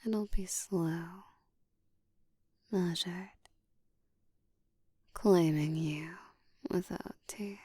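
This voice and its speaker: Actress as commanding female vampire for roleplay audio: very slow, breathy whisper, dark low tone, teasing control. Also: no room sound, clean studio sound, exaggerated voice.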